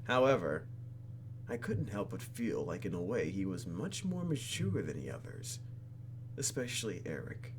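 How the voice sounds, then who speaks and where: A man's voice: sits low, big pitch swings, normal speed, slight background noise. A man hosting a let's play, reading the game's narration aloud expressively and dropping in jokey asides. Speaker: gaming host. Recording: game music under commentary.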